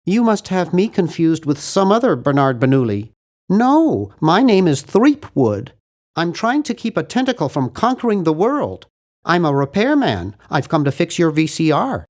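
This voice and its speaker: Cartoon game lead: nervous young American geek, high nasal voice for a man, very animated, fussy and earnest. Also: moderate pace. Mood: awkward, polite. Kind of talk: cartoon adventure game voice acting.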